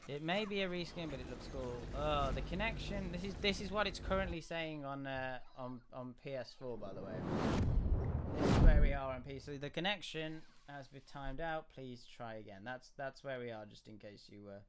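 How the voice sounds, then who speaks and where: A young man hosting a casual game livestream. He is chatty and rambling, with a slightly croaky voice. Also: mid-range voice, animated, moderate pace. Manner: chatty, rambling, often restarts and hums. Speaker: gaming livestream host. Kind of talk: casual live commentary. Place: home room. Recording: audible background noise.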